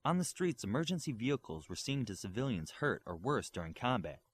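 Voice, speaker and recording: Male audiobook narrator, mid-pitched, lively intonation, expressive read. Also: a little fast, slightly rough. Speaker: audiobook reader.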